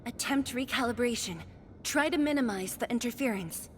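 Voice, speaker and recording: A woman's voice: on the high side, moderate pace, close to an everyday voice. A woman playing a composed, commanding lead scientist in a video game, giving firm, clipped instructions in a reverberant space with faint noise. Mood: focused, commanding. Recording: long hall reverb, faint lab noise.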